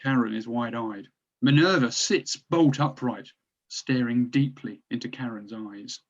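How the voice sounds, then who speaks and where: A man's voice: medium pitch, a little slow. A man narrating stage directions from a drama script, recorded on dull, lo-fi audio.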